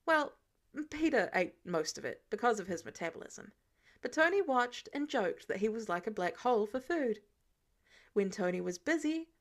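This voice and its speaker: A woman reading a story aloud as expressive scripted narration, in the manner of an audiobook. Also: on the high side. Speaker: fan fiction audiobook reader.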